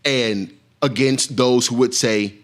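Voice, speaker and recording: A man's voice: medium pitch, fairly even intonation, takes it slowly. A man who is a guest in a casual chat, speaking in a preachy way and building to emphatic points.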